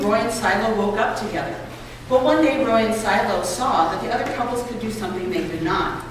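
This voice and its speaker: Woman, read-aloud delivery, mid-pitched, distant mic, noisy room ambience. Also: heavy reverb, big-room sound. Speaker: reader at a public read-aloud event. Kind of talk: reading a children's book and an essay aloud. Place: college building lobby. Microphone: distant microphone.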